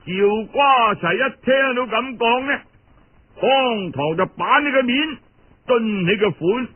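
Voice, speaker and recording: A man's voice: extremely high pitch, animated, slow pace. A man with a Cantonese accent narrating a tale in traditional oral storytelling style. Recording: dull, band-limited radio-style recording.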